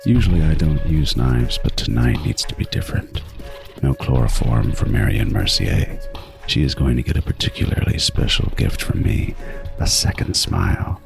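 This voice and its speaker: An actor playing a meticulous, obsessive serial killer who narrates a horror audio drama in a brooding, deliberate and menacing way. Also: male voice, rumbling low, lively intonation, creaky, music in the background, heavily acted.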